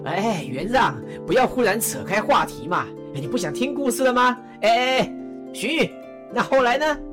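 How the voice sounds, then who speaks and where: A woman's voice: on the high side, expressive, normal speed, lightly acted. Woman voicing a joking male warlord, bouncy delivery, music bed. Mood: playful. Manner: teasing, playful, whiny.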